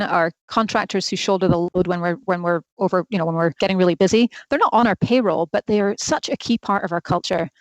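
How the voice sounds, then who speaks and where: A middle-aged woman speaks with a softened Scottish accent in a close, dry recording. She is an executive being interviewed, and she explains herself in an earnest, articulate, conversational way. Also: mid-range voice, no room sound, poor audio. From Scotland. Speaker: marketing executive, interview guest.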